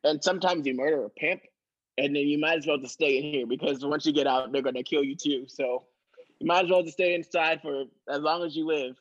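A man as a deadpan, jaded woman asylum lifer, slightly muffled. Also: on the high side, brisk, natural voice. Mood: deadpan, blunt. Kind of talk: improvised comedy.

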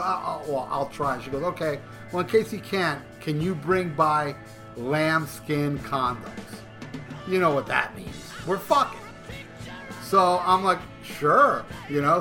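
A middle-aged man hosting a podcast, telling a long crude story in a rambling, profane way with stumbling restarts. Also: fairly high pitch. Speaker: podcast host. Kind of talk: casual storytelling. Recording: music playing clearly underneath.

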